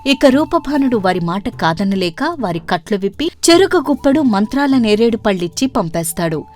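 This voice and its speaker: A woman's voice: on the high side, sing-song, normal speed, a little mellow, soft background music. A woman narrating a scripted children's story as the storyteller.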